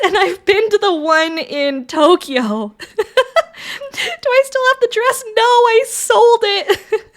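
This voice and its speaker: A woman livestreamer chatting casually with viewers, reading their messages aloud, swearing freely and laughing often, her voice raspy from allergies. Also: very high voice, a bit bright.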